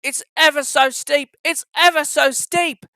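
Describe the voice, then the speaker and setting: A storyteller voicing a vain, excitable young male steam engine with a boastful, puffing delivery. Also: high-pitched, a little slow, dry recording, clean studio sound, voice pushed up, exaggerated voice. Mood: straining. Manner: boastful, puffing repeated phrases.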